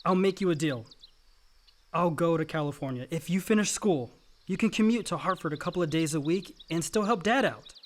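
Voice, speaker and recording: An actor playing a frank, hot-headed young younger brother in a naturalistic radio drama, casual and profane. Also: male voice, a little high, a little fast, close to an everyday voice. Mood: warm, casual.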